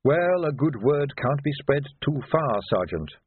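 A coolly composed aristocratic ruler, as a male narrator plays him, formal and understated. He is measured and subtly ironic, with pitch that lilts widely, on a dry, phone-like audiobook recording. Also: sing-song, husky, no echo. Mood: smooth, ironic.

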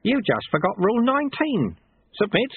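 A man's voice: high-pitched, wildly swooping pitch, moderate pace, slightly rough, phone-quality sound, voice pushed up, full character voice. A man voicing a sly, cheerful old monk and martial-arts master who talks in a cheeky, colloquial, teasing way, in an audiobook. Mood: smug, cheerful.

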